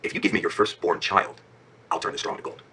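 A man's voice: quick pace, bright, forceful tone, a little hiss or hum, natural voice. A man voicing a small, strange imp from a bedtime fairy tale, a sly bargainer who is insistent and gloating.

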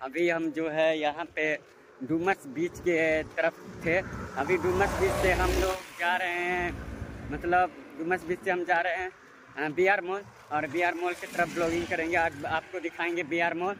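Young male vlogger, Maithili native speaker, high voice, casual walk-and-talk, repetitive phrasing, very noisy handheld phone recording. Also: little pitch movement, moderate pace. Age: young adult. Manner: repetitive, informal narrating as he walks. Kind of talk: casual vlog chat to camera. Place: outdoors by roads and inside a large busy mall. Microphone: phone at arm's length. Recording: loud street and mall ambience, handheld. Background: loud urban ambience and vehicles.

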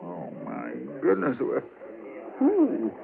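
An actor playing a folksy, soft-hearted marshal's helper with a rural American accent in a 1950s radio western. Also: male voice, high-pitched, wildly swooping pitch, very slow, creaky, phone-quality sound, a crowd in the background, full character voice. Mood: concerned. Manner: folksy, drawn to exclaiming. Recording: thin old 1950s radio recording, background noise.

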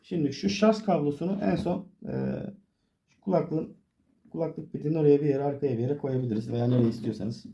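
A man's voice: a little high, steady tone, a little fast, mellow, slight background noise. A man giving a casual hands-on tutorial, explaining informally step by step as he works. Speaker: DIY tutorial presenter.

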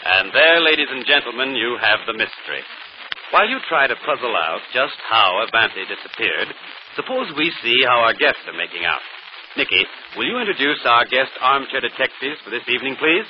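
A noisy, dull old radio recording carries a man acting as a confident gentleman detective. His delivery swings widely in pitch as he lays things out crisply, in classic 1940s radio drama manner. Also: big pitch swings, brisk, lightly acted. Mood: courteous, hosting. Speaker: detective and program host.

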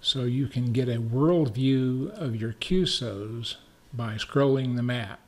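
A man with an American accent narrating a screencast software tutorial in a measured, methodical, step-by-step way. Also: medium pitch. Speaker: tutorial presenter, amateur radio operator.

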